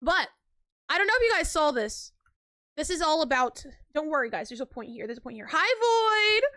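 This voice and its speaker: A woman's voice: high voice, sing-song, normal speed, sharp tone. A young woman streaming live, chatting casually and rambling with lots of filler words and frequent laughs.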